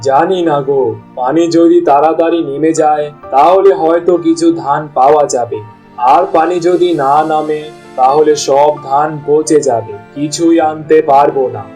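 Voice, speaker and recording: A man's voice: a little high, normal speed, warm tone, faint music behind, natural voice. A man voicing an anguished poor village farmer, a husband and father, in a cartoon with plaintive, lamenting delivery. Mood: dejected. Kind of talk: cartoon voice acting.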